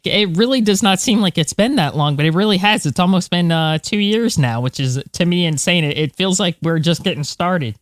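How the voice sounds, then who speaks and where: A woman's voice: on the low side, expressive, brisk, hardly any pauses, dry recording, studio quality. A woman, co-hosting a podcast in casual chat, telling stories informally with slangy asides. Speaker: podcast co-host.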